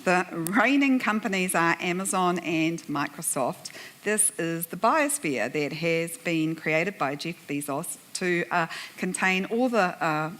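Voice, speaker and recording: A woman's voice: fairly low pitch, animated, unhurried, fairly bright tone. Kiwi woman telling a funny story at a conference, chatty, laughs at herself a lot. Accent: New Zealand. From New Zealand. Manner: chatty and self-deprecating, laughing often. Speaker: panel moderator. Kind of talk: humorous anecdotal presentation to a conference audience. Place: conference plenary room.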